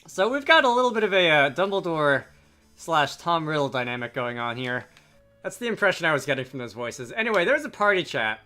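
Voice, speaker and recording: A man's voice: fairly high pitch, big pitch swings, a little fast, pushed and bright. A man giving casual game commentary and reading dialogue aloud, with dry, joking asides. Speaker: let's play video creator. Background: game music.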